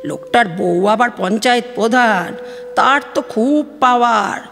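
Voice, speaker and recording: A woman's voice: a little high, expressive, measured pace, fairly bright tone, a little hiss or hum. A woman playing an aggrieved, gossipy middle-aged village mother from West Bengal on the phone in an audio drama, chatty and complaining, with a Bengali accent. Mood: gossiping, scandalised. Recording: long studio reverb, music behind.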